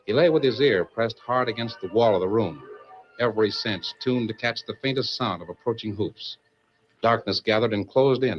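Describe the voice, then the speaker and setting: Dramatic old-time radio male narrator. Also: on the low side. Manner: declamatory, suspenseful. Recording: dull vintage radio, music behind.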